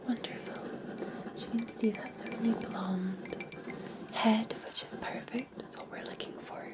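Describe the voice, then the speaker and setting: A woman with a Scottish accent whispers in a breathy, lightly high voice. She speaks gently and soothingly in an ASMR roleplay, as a café barista chatting one-to-one with a customer. The delivery is intimate and unhurried. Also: steady tone. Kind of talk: ASMR roleplay, softly talking to the listener as a customer.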